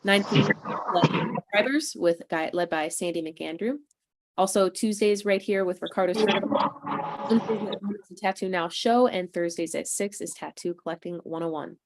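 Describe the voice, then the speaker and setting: A woman's voice: mid-range voice, normal speed, poor audio. A woman hosting a casual livestream on a video call. She is chatty and upbeat and tired after a convention weekend. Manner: chatty, upbeat, clears throat between announcements. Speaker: host.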